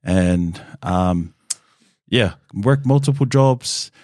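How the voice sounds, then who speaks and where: A man in his thirties with a low voice shares his story in an easy, candid way. He fills his pauses with "um" and "kinda". The sound is close and dry, with no room around it. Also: no room sound. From New Zealand. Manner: relaxed and open, with frequent "um" and "kinda". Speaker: guest.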